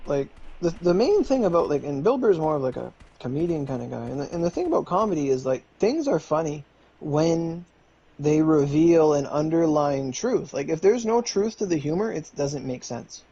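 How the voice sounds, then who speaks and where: A man's voice: on the high side, animated, moderate pace, dark tone, husky, muffled recording. A man streaming, chatting casually in a rambling way full of fillers and restarts.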